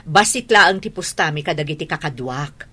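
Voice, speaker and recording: A woman's voice: low-pitched, expressive, moderate pace, bright, forceful tone, slightly rough, exaggerated voice. An actress with an Ilocano Filipino accent playing a wheedling married woman who gambles, in a radio comedy drama. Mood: defensive.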